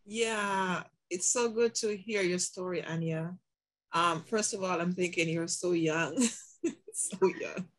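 A woman speaking on a video call, giving a rambling, heartfelt comment with many ums. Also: fairly low pitch. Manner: slow, rambling, with many ums and soft laughs. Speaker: audience member asking a question. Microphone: video call mic.

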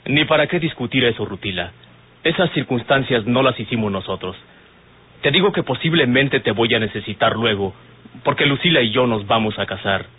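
Radio serial: resolute young suitor, courteous, husky, mid-pitched. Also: male voice, lively intonation, brisk. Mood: earnest. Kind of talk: old Mexican radio drama acting. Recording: tinny old radio recording.

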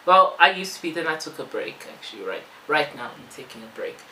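A woman's voice: deep voice, sing-song, fairly quick, pushed and bright. A woman, chatting casually and wandering into asides as she does a makeup tutorial and Q&A. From born in Belgium, moved to South Africa. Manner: informal, rambling asides while busy applying makeup. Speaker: beauty vlogger. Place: small bare-walled room indoors. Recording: echoey room with some background noise.

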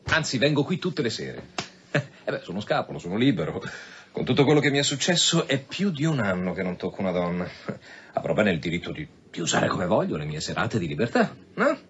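Low, animated, sardonic police commissioner. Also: male voice, brisk. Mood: sardonic, menacing. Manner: sarcastic, hesitant repetitions building to veiled threats. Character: sardonic, persistent male police commissioner, needling and insistent. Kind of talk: Italian radio drama acting. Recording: dull sound with faint background noise.

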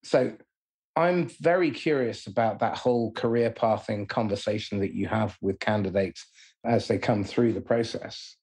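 A man's voice: fairly low pitch, moderate pace, darkish tone, husky. A man hosting a podcast, asking curious questions and laughing easily. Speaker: podcast host. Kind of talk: interview questions.

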